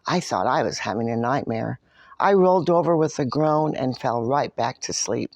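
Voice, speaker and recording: A woman reading a picture book in the first person as a smug, grumbling but soft-hearted leprechaun. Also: very deep voice, expressive, moderate pace, dry recording, pitched below the performer's own voice, heavily acted. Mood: groggy, uneasy. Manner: boastful, dramatic storytelling.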